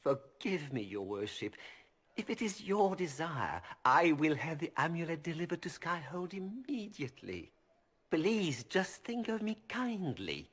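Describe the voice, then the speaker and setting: Scheming merchant, husky, ingratiating, game voice. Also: male voice, fairly high pitch, very animated, measured pace, a bit bright, exaggerated voice. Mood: smug, ingratiating. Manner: smooth, ingratiating, formal. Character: scheming male merchant, oily and polite. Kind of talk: video game voice acting.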